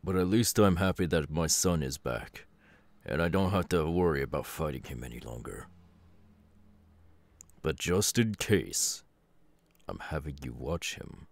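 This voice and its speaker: A man playing a stern, weary middle-aged superhero father in an ASMR roleplay, with a deliberate, halting delivery. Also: a bass voice, expressive, vocal fry, no echo, exaggerated voice. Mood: weary, earnest. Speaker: voice actor.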